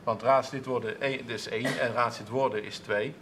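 A man's voice: mid-range voice, steady tone, normal speed, noisy background. A man in his thirties, a council clerk, answering interview questions in a TV studio with many restarts and fillers. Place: TV studio with seated audience, at a table.

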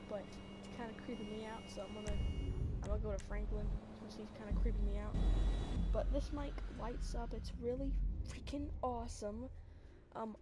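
A woman streamer giving casual, rambling gameplay commentary, sniffly and low on energy, on a desk mic. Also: fairly even intonation, normal speed, very noisy. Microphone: new desk mic.

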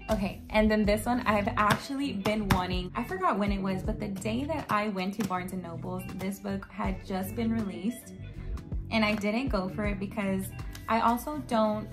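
A young woman in her twenties, a bit hoarse and raspy after losing her voice, chatting casually about books and reading blurbs aloud in her bedroom. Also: medium pitch. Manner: chatty, reads book blurbs aloud, frequent "like" and "um". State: slightly hoarse from yelling at a concert. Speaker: book vlogger. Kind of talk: casual book haul chat. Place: bedroom, indoors. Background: background music.

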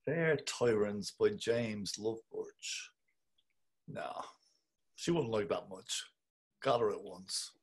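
A man's voice: lowish voice, animated, slow pace, pauses often, slightly rough, heavily acted. An actor in a dramatic reading playing a man browsing racy novels, over a video call. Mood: musing.

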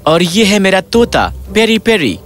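A man voicing an earnest, excitable, lovelorn young poet in a cartoon fairy tale, with dramatic delivery. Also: high voice. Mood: earnest pleading. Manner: dramatic, pleading, repeats himself. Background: music.

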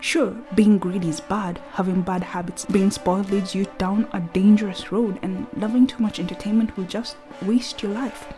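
Soft-spoken woman narrating over faint music. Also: mid-range voice, fairly even intonation, moderate pace, few pauses. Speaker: video essayist. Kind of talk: scripted narration.

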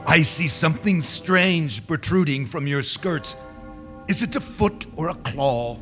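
A man's voice: a little high, measured pace, a bit bright, faint music behind. A man playing an anxious old miser in a stage radio drama, speaking in a theatrical, earnest way. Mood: subdued wonder.

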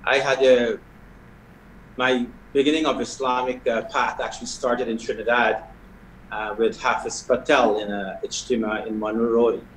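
A middle-aged man from Guyana, a prison chaplain, answering questions in a measured way with hesitations over a video call. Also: medium pitch, level tone, slight background noise. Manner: measured, soft-spoken with hesitations. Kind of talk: interview answers. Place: video call from an indoor hall. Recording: echoey video call audio.

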